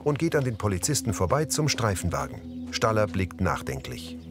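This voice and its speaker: A man's voice: fairly low pitch, very animated, moderate pace, over music. A man narrating scenes as an audio describer for a scripted television crime comedy.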